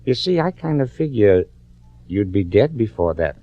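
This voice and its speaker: An American actor playing a cocky small-time crook in a radio drama, folksy, insolent and drawling. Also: male voice, on the low side, big pitch swings, moderate pace, no echo. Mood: smug. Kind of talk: 1940s radio acting. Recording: dull old-time radio recording.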